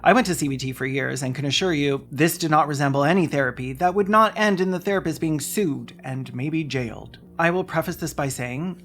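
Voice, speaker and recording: A narrator reading a scripted video essay in a measured way, including emails read aloud. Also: medium pitch, a bit bright.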